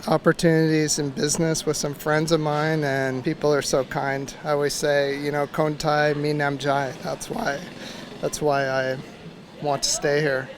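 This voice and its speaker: A man's voice: fairly high pitch, level tone, unhurried. A man from the United States giving casual interview answers. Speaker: street interviewee. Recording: outdoor street with traffic noise.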